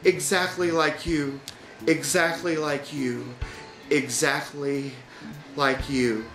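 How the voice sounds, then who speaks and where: A man's voice: a little high, little pitch movement, takes it slowly, fairly bright tone, echoey room, faint music behind. A man voicing strange, mischievous young twin children who lie in a blunt, matter-of-fact way in a storybook. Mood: taunting, chanting.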